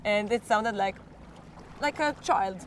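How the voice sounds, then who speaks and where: A teenage Croatian girl speaks hesitant English with a Croatian accent, pausing to hunt for words and sometimes slipping into her native language. Her voice is a touch hoarse and mid-pitched. A faint hiss of noise sits behind her. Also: rough recording. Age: teens. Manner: halting, searching for English words. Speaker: klapa singer. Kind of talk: interview answers.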